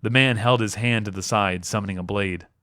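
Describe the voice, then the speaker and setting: A man reading a fantasy story aloud as dramatic audiobook narration, expressive and with deliberate pauses. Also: on the low side, no room sound, clean studio sound. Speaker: amateur reader, a fan.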